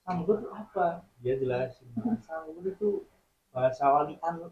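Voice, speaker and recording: A man's voice: a little high, animated, normal speed, a little hiss or hum. A man from Central Java, a band member guest chatting casually and laughing often. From Central Java, Indonesia. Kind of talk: casual chat. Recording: slightly roomy, muffled radio studio sound.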